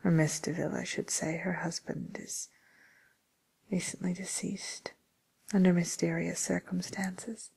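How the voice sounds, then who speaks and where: A woman playing a polite, tactful matchmaker for villains in a whispered ASMR role play, speaking breathily with gentle, hesitant pauses. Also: on the low side, flat delivery, vocal fry, husky, heavily acted, poor audio. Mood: tactful, gently amused.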